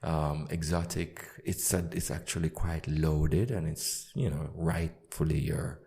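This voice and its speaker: A man's voice: very deep voice, unhurried, vocal fry, husky, no echo. A man from Jamaica, an English teacher hosting a podcast, chatting playfully with a student and then reading his show intro. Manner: lively, playful, switches between English and German.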